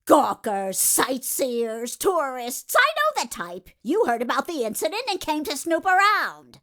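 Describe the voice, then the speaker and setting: A woman's voice: sits high, huge pitch swings, a little slow, a bit bright, exaggerated voice. A woman playing a brash, nagging older security guard with scolding, gossipy, folksy talk in a video game fan dub. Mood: scolding, indignant. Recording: music behind the voice. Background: music.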